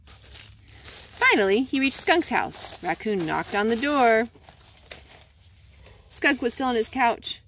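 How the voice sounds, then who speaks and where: A woman hosting storytime, reading aloud to young children in simple, measured phrases. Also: medium pitch, gravelly, fairly bright tone. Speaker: storytime host and narrator.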